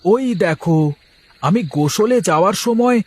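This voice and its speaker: A man's voice: high voice, animated, normal speed, darkish tone, no echo. A man voicing a plain-living village vegetable seller in a cartoon, wheedling and defensive, full of colloquial interjections. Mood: homely, grumbling. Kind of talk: cartoon character dialogue.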